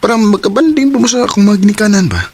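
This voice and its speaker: A man's voice: high-pitched, rapid-fire, a little mellow, breathy edge. A man playing an easygoing, sweet-talking young boyfriend in a radio drama. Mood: casual, coaxing.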